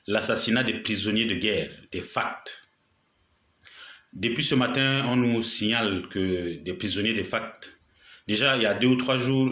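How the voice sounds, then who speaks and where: A man with a cold delivers a spoken video statement, his throat sore but his tone bright and sharp. The room around him rings with echo. He presses his points with indignation, often repeating himself for weight. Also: on the low side. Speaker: political commentator.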